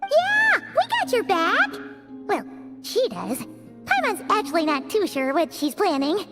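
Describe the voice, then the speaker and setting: An extremely high, childlike fairy companion from a video game. The delivery is bubbly and exclamatory, leaping around in pitch. It sits in long reverb with music playing underneath. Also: female voice, huge pitch swings, unhurried, full character voice. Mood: cheerful. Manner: chirpy, exclamatory, refers to self in third person. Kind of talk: animated video game voice acting.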